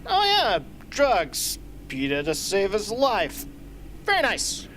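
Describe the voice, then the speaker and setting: A woman playing a brisk, sardonic rebel strategist in an amateur radio drama, speaking emphatically. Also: mid-range voice, huge pitch swings, a bit bright, slight background noise, exaggerated voice. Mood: mocking.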